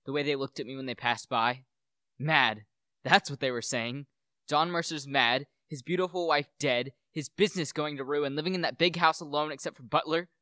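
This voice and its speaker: A teenage male student actor playing a brooding, obsessed widower in a radio play, with intense, halting, melodramatic delivery. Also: a little high, fairly even intonation, bright, forceful tone, dry recording, studio quality. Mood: bitter, paranoid. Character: brooding, obsessed widower addressing his dead wife.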